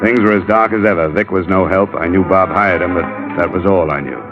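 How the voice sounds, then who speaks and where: An actor playing a tough, sardonic insurance investigator in an old-time radio drama, with an American accent and terse delivery. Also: male voice, sits low, a little fast, loud music behind the voice. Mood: dry narration. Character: hard-boiled male insurance investigator, cool, tough and sardonic. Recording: muffled, hissy old radio recording.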